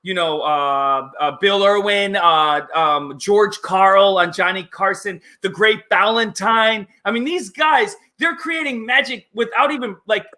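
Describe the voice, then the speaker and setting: High-pitched, bright-voiced, excitable man. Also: a little slow, few pauses. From United States, child of Italian immigrants. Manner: excitable, emphatic, rambling enthusiast. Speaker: interview guest, maker magician. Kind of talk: casual conversational interview.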